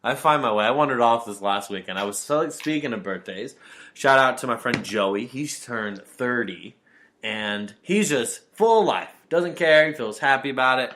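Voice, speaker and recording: A man's voice: mid-range voice, expressive, moderate pace, fairly bright tone. A man in his twenties co-hosting a podcast in casual chat, teasing his friend, swearing casually and laughing as he talks. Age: 20s. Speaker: podcast co-host.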